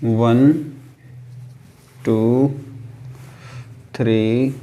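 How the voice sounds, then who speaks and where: Male Indian teacher, very unhurried delivery, mid-pitched, explaining grammar didactically with repeated phrases and rhetorical questions. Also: little pitch movement, dark tone, muffled recording, a little hiss or hum. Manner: didactic, repeats phrases and asks himself questions then answers. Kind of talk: classroom grammar lesson, explaining step by step.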